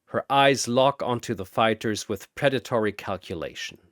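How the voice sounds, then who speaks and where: A man narrating a fantasy battle in a bombastic, theatrical style with drawn-out emphasis, like an arena announcer. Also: mid-range voice, fairly bright tone, dry recording, clean studio sound.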